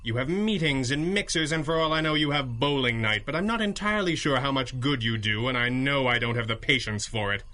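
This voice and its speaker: An actor playing a cocky, sardonic masked hero in a 1930s-style radio drama, with a dry, flippant, wisecracking delivery. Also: male voice, mid-range voice, brisk, few pauses, pushed and bright, natural voice. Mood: dry, defiant.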